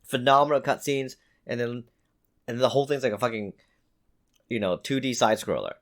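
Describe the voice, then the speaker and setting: A man's voice: mid-range voice, normal speed. A man co-hosting a podcast, arguing casually and insistently, repeating himself for emphasis and swearing freely. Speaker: podcast co-host. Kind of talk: casual argumentative podcast chat.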